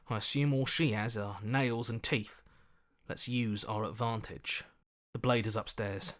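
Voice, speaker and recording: A man's voice: mid-range voice, a bit bright, lightly acted. A man playing an earnest, anxious hero for a video game, speaking in a plain, urgent, questioning way. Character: earnest, anxious heroic inner voice, adult man. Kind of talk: video game character acting.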